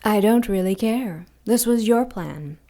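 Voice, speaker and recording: A woman voicing an ancient, cruel female demon who taunts slowly and with menace in an audio drama. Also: medium pitch, animated, mellow. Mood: taunting. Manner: slow, mocking, menacing purr.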